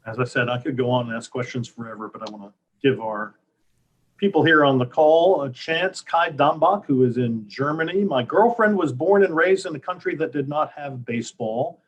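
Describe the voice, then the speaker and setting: A man's voice: mid-range voice, moderate pace. An American man hosting and moderating a casual interview conversation.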